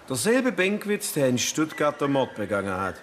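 An actor playing a haughty, slippery crime boss, pompous and mock-polite, in a radio drama. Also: male voice, medium pitch, very animated, normal speed, a bit bright, slight background noise. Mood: evasive, haughty. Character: haughty, slippery businessman crook with a bodyguard.

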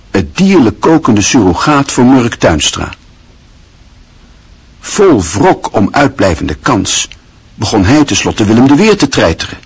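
Very husky, low-voiced man narrating. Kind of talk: scripted literary narration for radio drama.

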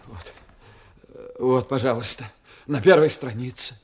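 An actor playing a distraught, polite young man accused of a crime, pleading haltingly in an audio drama. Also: mid-range voice, breathy edge. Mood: subdued, anxious. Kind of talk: Russian audio drama acting.

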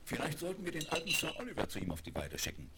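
A kindly middle-aged father with a creaky voice and very varied intonation. He is a considered country gentleman in seventies radio-play style. Loud vinyl noise surrounds him. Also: a little high, huge pitch swings, rapid-fire, full character voice. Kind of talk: 1970s German radio play acting. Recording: loudly crackling 1970s vinyl record, clinking tableware.

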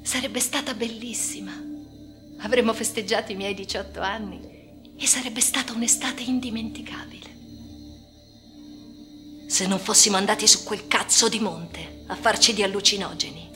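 Casting: woman playing a troubled, defiant young woman, intense radio-drama monologue, medium pitch, clear music underneath. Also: normal speed, lightly acted. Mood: bitter, defiant. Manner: intense, swinging between hushed and agitated. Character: troubled, defiant young woman recounting her hospital confinement.

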